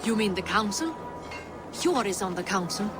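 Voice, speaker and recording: A woman playing an earnest, loyal, steady Viking-era character in a dramatic video game. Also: mid-range voice, moderate pace, music in the background, close to an everyday voice. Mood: concerned.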